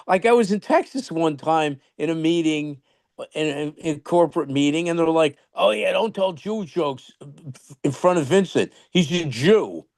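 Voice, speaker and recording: New york guy rambling through stories over a video call, kinda high voice, very dry sound, laughs a lot. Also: normal speed, gravelly, no echo. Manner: animated, laughing anecdotes with many restarts. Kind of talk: casual storytelling chat. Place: online video meeting.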